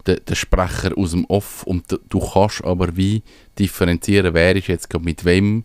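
A man's voice: sits low, level tone, moderate pace, darkish tone, smooth, dry recording. A man with a Swiss German accent co-hosting a podcast in a casual, conversational way. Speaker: podcast co-host.

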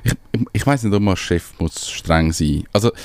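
A man's voice: deep voice, animated, brisk, a little mellow, no room sound. A man with a Swiss German accent chatting casually as a radio co-host, rambling and searching for his words. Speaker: radio show co-host and small business owner.

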